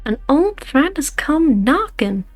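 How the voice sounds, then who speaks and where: A woman's voice: high voice, big pitch swings, takes it slowly, heavily acted. A woman voicing a composed, wry company director, coolly evasive and defiant, in a game fan dub. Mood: grave, urgent. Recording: music behind the voice.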